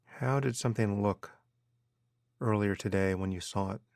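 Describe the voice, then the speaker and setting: A man guiding a meditation as a teacher, giving measured instructions with unhurried pauses. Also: lowish voice, vocal fry, darkish tone, no echo, studio quality. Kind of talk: guided meditation narration.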